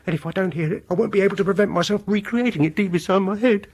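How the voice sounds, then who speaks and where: An actor playing a coldly vengeful, obsessive music lover in a radio horror drama, pedantic and condescending. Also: male voice, high voice, very fast, slightly rough. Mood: manic, furious. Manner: pedantic, mock-reasonable, condescending.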